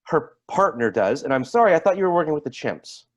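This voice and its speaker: A man's voice: a little high, sing-song, muffled recording. Man acting a sarcastic, wildly swinging needler. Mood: bitter sarcasm. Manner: halting, repeats words when needling. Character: bitter, sarcastic man feuding with his sister's partner. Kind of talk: staged play reading.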